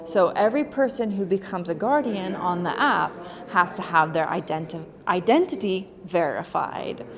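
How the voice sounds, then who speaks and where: A woman's voice: on the low side, moderate pace, a crowd in the background. A woman giving an earnest public speech, stressing her points with repeated words. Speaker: speaker at a press event. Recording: long hall reverb.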